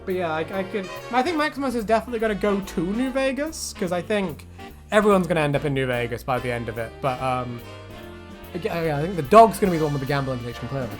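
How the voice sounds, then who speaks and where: Casual male YouTube host, high-ish playful chat not required; loose, jokey, sweary, music underneath. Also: very animated, quick pace. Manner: joking, swearing, rambling. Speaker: YouTube host giving reactions to fan predictions. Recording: music playing behind. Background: background music.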